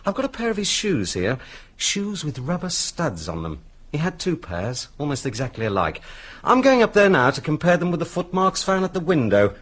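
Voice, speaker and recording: An actor playing a brisk, self-important police inspector in a radio drama, with an English accent and clipped, businesslike delivery. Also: male voice, a little high, wildly swooping pitch, fairly bright tone, breathy edge, full character voice.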